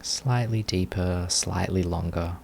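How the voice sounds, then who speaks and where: A man with a low voice guides a meditation very slowly. He gives gentle instructions in short phrases, leaving long pauses between them. Ambient background noise is audible behind him. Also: vocal fry. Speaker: meditation teacher.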